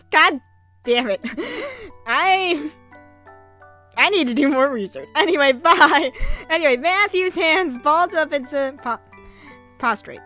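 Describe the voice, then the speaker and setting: A woman's voice: high voice, sharp tone. A giggly, flustered woman streamer reading her own fan fiction aloud, stumbling over words and breaking into chatty asides. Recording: music box music behind.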